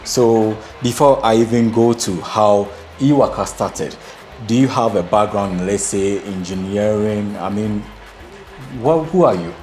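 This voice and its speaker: A man's voice: lowish voice, unhurried, darkish tone, soft background music. A man from Ghana hosting an interview in a vehicle showroom, asking questions with a lapel mic. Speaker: host. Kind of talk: interview and voice-over intro. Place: showroom with electric bikes.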